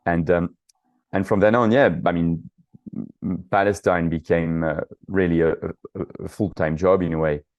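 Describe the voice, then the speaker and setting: Deep-voiced Frenchman with a French accent. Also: fairly even intonation, measured pace, darkish tone, lo-fi sound. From south of France. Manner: hesitant, many fillers and restarts. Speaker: guest, author and activist. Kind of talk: conversational interview answers.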